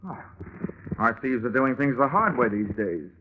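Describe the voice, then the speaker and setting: A man playing a wisecracking, sleepy insurance investigator in a deadpan 1940s radio detective drama style. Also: mid-range voice, normal speed, narrow, tinny audio, slight background noise, close to an everyday voice. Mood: casual, dry. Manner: wry, deadpan. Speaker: narrator and lead detective character. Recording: band-limited vintage 1940s radio recording, music.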